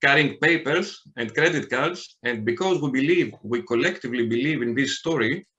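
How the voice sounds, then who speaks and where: A man's voice: mid-range voice, animated, normal speed, pushed and bright, muffled recording. A man from Greece with a Greek accent, a podcast guest talking in a hesitant way with many fillers and restarts. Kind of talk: casual podcast chat.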